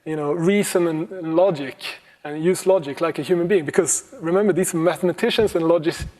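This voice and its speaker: A man giving a relaxed conference talk on computing history, with many pauses, fillers and restarts and the odd chuckle. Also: fairly high pitch. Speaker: conference speaker.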